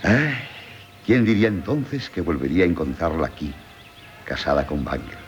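Courteous professor, deep voice. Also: male voice, big pitch swings, normal speed, a little hiss or hum. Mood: reminiscing. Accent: Castilian Spanish. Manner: polite, formal. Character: courteous, attentive male professor, old family friend. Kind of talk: 1970s Spanish radio drama acting. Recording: muffled 1970s radio broadcast.

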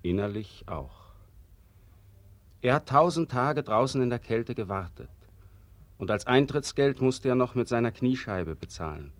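An actor playing the solemn, bitter narrator of a 1940s radio play, speaking gravely and with deliberate emphasis. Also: male voice, medium pitch, animated, no echo, lightly acted. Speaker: prologue narrator.

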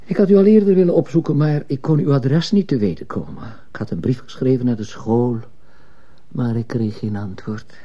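An actor playing a mild, probing priest in a radio play, gentle and wry, with a dark, husky voice. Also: male voice, medium pitch, moderate pace, lo-fi sound, natural voice. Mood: wry, polite. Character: mild, probing male priest in plain clothes. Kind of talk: Dutch radio play acting.

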